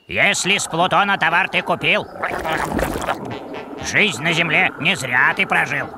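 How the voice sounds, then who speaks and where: A man voicing a touchy, ponderous alien shopkeeper in a cartoon, speaking deliberately. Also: a little high, expressive, heavy background noise. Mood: ponderous, aloof.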